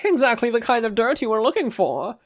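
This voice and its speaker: A man's voice: very high-pitched, sing-song, a little fast, pitched above the performer's own voice. A man voicing an informant spy in a storybook reading, with a French accent and a smug manner. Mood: eager, reporting.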